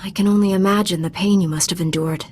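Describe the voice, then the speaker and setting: A woman's voice: mid-range voice, fast talker, a little hiss or hum, close to an everyday voice. Woman playing composed, firm Jedi master. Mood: supportive. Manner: calm, authoritative, direct. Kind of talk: scripted video game dramatic acting.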